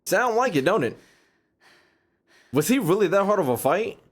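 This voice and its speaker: A young American man streaming a game, reacting casually with lots of swearing and joking. His voice swings widely and animatedly from a relaxed register. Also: high voice, moderate pace. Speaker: gaming streamer.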